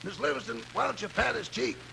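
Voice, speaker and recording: An actor playing a loyal, wisecracking valet with a raspy, drawling, exclamatory voice in 1950s radio comedy. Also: male voice, very high voice, quick pace, sharp tone, full character voice. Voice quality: gravelly, raspy. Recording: noisy old radio recording.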